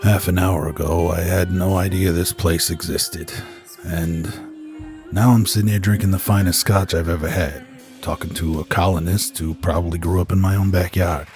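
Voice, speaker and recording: A man's voice: deep voice, steady tone, normal speed, a little mellow, natural voice. A man playing a world-weary space detective in a radio drama, wry and laid-back in a noir way. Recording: music behind the voice.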